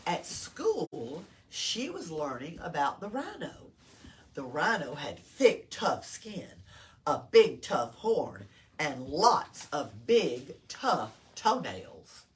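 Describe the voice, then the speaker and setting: A woman with a Southern US accent reading a picture book aloud to children, expressively, pausing to put questions to them. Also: low voice. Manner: expressive, engages listeners with questions. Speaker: storytime reader at a library. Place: indoors in a library. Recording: distant mic, noticeable room noise.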